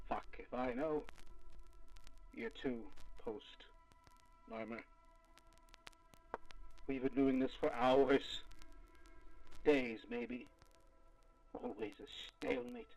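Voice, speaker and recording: A man playing a weary, foul-mouthed field researcher dictating case logs in an audio drama, with a gravelly, theatrical, halting delivery. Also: mid-range voice, slight background noise, rough recording. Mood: exhausted. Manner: theatrical, halting, muttering to himself.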